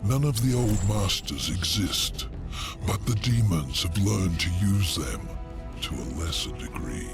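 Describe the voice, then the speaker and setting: An actor playing a sly, menacing imprisoned demon lord in a video game, with theatrical, taunting delivery. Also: male voice, deep voice, lively intonation, unhurried, creaky, music in the background, exaggerated voice. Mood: ominous. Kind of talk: video game cinematic acting.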